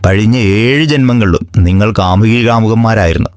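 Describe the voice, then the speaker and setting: A man's voice: lowish voice, brisk, no echo, clean studio sound, lightly acted. A man playing a shrewd, self-important village astrologer in a comedy cartoon, stern and pompous in his pronouncements. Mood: solemn pronouncing. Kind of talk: comedy cartoon skit dialogue.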